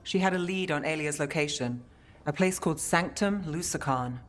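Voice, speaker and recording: A woman's voice: low voice, moderate pace, natural voice. A woman playing a cool, world-weary investigator in a video game, speaking in a dry, clipped way. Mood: wry, businesslike.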